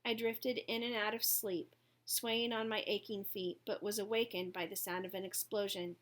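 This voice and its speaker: A woman's voice: mid-range voice, steady tone, normal speed, a bit bright. A woman narrating a first-person story from a written text, as a reader of an audiobook.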